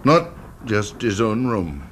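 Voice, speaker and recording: Slow, downtrodden older man. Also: fairly low pitch, big pitch swings, fairly bright tone, exaggerated voice. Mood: bitter, sorrowful. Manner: halting, broken phrases. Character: downtrodden blind older man, bitter, grieving, meek. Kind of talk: radio drama acting. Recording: very noisy old radio drama recording.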